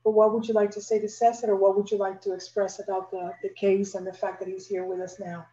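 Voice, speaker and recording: A woman's voice: medium pitch, flat delivery, fast, mellow. An older woman moderating a panel discussion over a video call from a room at home. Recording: muffled, roomy video-call sound.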